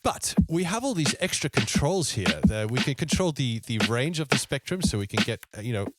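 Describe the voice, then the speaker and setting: A man giving an informal software tutorial as a music production tutor, thinking aloud with easy enthusiasm. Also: mid-range voice, sing-song, brisk. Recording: music playing alongside the voice. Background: electronic sound demos and music.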